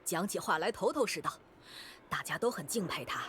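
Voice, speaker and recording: A woman voicing a good-natured, teasing young cook in an anime-style game, playful and animated. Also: on the low side, brisk, slight background noise. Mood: teasing, fond.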